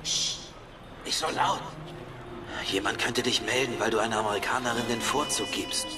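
A man playing a wary guard soldier in a game, heard from a distance under loud music. He is hushed and admonishing, in a mid-pitched voice. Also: normal speed, lightly acted. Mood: wary, conspiratorial. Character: cautious, dutiful German soldier on guard. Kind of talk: video game background dialogue.

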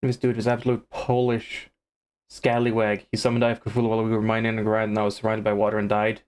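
A man's voice: lowish voice, completely flat delivery, quick pace, a little mellow, clean tone. A man chatting casually as a live streamer while he comments on the game he is playing.

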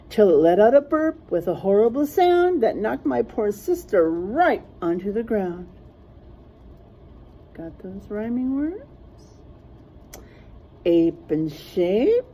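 A middle-aged woman reading a rhyming picture book aloud in a teacherly way, pausing to point out word pairs. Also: mid-range voice, mellow, a little hiss or hum, poor audio. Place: small classroom, indoors.

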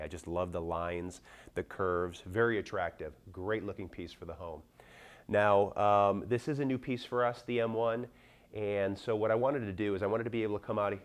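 A middle-aged American man giving a plain-spoken, explanatory product demonstration, like a fitness salesman instructing buyers. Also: lowish voice.

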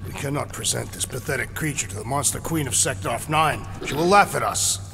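An actor playing a huge, literal-minded alien warrior in a video game, proud and formal, with a gravelly voice. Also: male voice, medium pitch, brisk, natural voice. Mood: indignant. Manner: formal, declamatory, deadpan literal. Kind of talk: video game cutscene acting. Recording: loud music behind dialogue.

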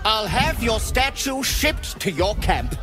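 A man's voice: high-pitched, very animated, slow pace, over music, heavily acted. A man voicing a flamboyant, gushing stone-creature sculptor in a video game, florid and theatrical. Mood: gushing, salesmanlike. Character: flamboyant, gushing stony gargoyle-like sculptor merchant. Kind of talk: video game character acting.

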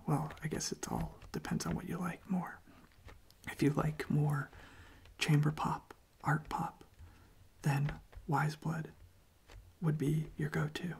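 A young man hosts ASMR in a breathy whisper that stays very even. There is a clear creaky fry in his voice. He takes his time, pausing gently as he goes. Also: fairly high pitch. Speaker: ASMR video host. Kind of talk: soft-spoken whispered ASMR.

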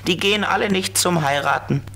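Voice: mid-range voice, a little fast, vocal fry, a bit bright. An actor playing a cheeky, know-it-all flying fantasy friend in a children's radio play, playful and explanatory. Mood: explaining.

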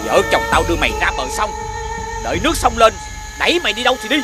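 Fast-talking, high-voiced village uncle. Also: male voice, big pitch swings. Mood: angry. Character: stern, grumbling village uncle, fed up with his nephew. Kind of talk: animated fairy-tale cartoon acting. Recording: loud music behind the voice.

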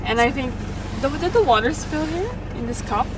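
A woman's voice: sits high, lively intonation, normal speed, far from the mic, noisy background. A woman chatting casually as a travel vlogger, filming a camping road trip. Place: in a car and outdoors on a road trip. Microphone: camera mic, behind the camera. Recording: loud music, off-mic camera audio in car and outdoors. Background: music, vehicle sounds.

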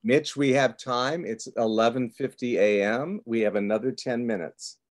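A man's voice: mid-range voice, moderate pace. An older man informally hosting a virtual book launch, speaking on a video call from a home office. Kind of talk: informal event moderating.

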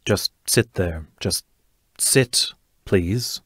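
Man playing a stern, strained schoolteacher, low and dry. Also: extremely slow, fairly bright tone, slightly rough, dry recording, exaggerated voice. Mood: strained, exasperated. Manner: formal, clipped, controlled. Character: strained, stern male schoolteacher trying to keep order. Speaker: actor in an audio play. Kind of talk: audio drama acting.

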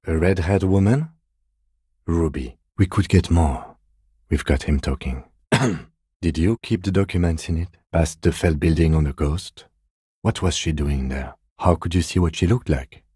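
A man playing a calm, composed middle-aged police detective in a video game, speaking in a measured, restrained and precise way. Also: low-pitched, breathy edge, dry recording, studio quality, full character voice. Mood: probing, serious. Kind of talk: video game voice acting, understated dialogue.

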